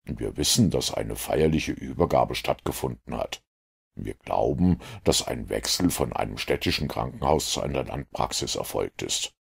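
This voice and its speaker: A man voicing a self-assured, analytical detective in an audiobook, with a gravelly, husky voice and a measured, lecturing manner. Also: a bass voice, lively intonation, full character voice. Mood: self-assured, reasoning. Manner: measured, didactic, superior. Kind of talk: audiobook character reading.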